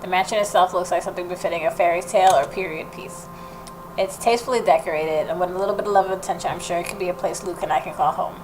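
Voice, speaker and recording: A woman hosting a gaming video, reading the story narration aloud and adding expressive reactions of her own. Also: fairly low pitch, quick pace. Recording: noticeable background noise.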